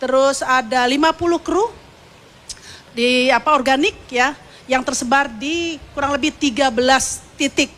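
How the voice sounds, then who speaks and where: A woman giving a semi-formal, unscripted ceremonial speech as a media executive, halting as she searches for words with pauses and repeats. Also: sits high, fairly bright tone.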